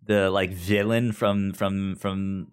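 A man chatting casually and a little haltingly as a podcast panelist. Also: low-pitched, little pitch movement, smooth. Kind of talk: casual chat.

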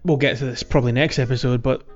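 A man's voice: medium pitch, steady tone, fast talker, highs cut off. A man co-hosting a podcast, casually and somewhat hesitantly chatting through a video game playthrough. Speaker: podcast co-host.

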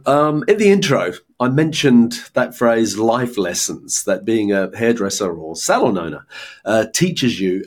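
A man hosting a podcast, who reads a prepared intro and then chats with his guest in a measured, earnest way. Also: mid-range voice. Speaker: podcast host. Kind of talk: scripted podcast intro, then casual interview.

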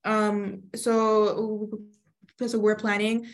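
A woman's voice: mid-range voice, level tone, drawn-out words. A young woman, a student guest in an interview, speaking with many fillers and stuttered repetitions. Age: young adult. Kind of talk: interview answers.

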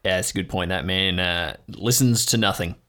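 A man's voice: sits low, normal speed, fairly bright tone, pitched below the performer's own voice. A man voicing an easygoing older seafaring character in an improvised audio drama, folksy and rambling. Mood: laid-back.

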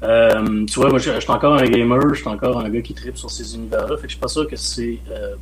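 A man in his late forties with a Québécois French accent, a film director talking in a relaxed, rambling way as a podcast guest. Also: mid-range voice, quick pace, a crowd in the background. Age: late 40s. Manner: relaxed, rambling, frequent "euh" and "mettons". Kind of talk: casual podcast interview.

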